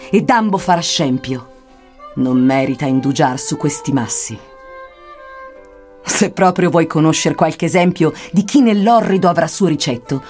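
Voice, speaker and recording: A woman's voice: low voice, darkish tone. A woman playing a stern, scornful poet guide through hell, declaiming archaic verse for radio. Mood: stern, commanding. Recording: music under the voice. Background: music.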